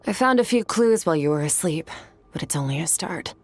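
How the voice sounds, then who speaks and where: A composed female mentor in a video game. Her voice is on the low side, matter-of-fact and businesslike. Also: animated, fairly quick, no room sound. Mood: calm, instructive. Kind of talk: video game character dialogue.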